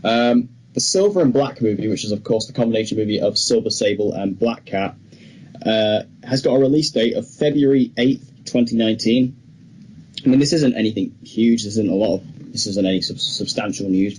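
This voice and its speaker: A man's voice: lowish voice, animated, fairly quick, darkish tone, low-quality recording. A man co-hosting a podcast in a casual chat style, talking through entertainment news. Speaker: podcast co-host. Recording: clear background noise.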